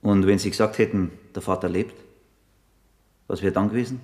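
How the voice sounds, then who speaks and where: A probing detective inspector for a radio drama. The voice is fairly low and husky. He questions in short, measured sentences and does not let go. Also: fairly even intonation, darkish tone. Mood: probing, dry. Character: probing, persistent male police detective inspector. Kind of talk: German radio drama acting.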